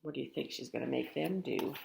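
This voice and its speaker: A woman's voice: rumbling low, brisk, vocal fry, a little mellow, slight background noise. A woman reading a children's story aloud in a theatrical, emphatic way, with chatty asides to the listener. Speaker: storybook reader.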